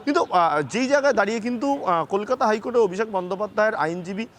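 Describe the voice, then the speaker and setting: A man with a West Bengal Bengali accent giving live news analysis as a correspondent, halting and repeating himself for emphasis. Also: sits high, expressive. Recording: clear background noise and ambience.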